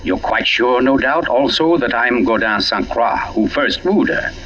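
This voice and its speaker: An actor playing an urbane, pompous gentleman writer turned sleuth in a 1940s radio mystery, teasing and deliberate in his delivery. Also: medium pitch, expressive. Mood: suave, amused. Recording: dull lo-fi old radio broadcast.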